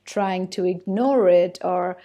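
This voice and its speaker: A woman's voice: fairly low pitch, slow pace, mellow. Eager woman with an Indian accent. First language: not English. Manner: eager, laughs often, many fillers. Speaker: podcast host. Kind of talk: casual interview chat.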